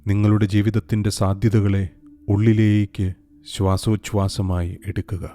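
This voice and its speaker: A man narrating a scripted guided meditation in a calm, soothing, unhurried way with long pauses. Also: fairly low pitch. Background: soft meditation music with singing bowls.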